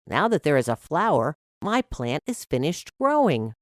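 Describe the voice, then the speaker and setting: A woman's voice: sits low, sing-song, moderate pace, no echo, clean studio sound. A woman voicing a young schoolboy, glum but curious and eager, in a storybook reading.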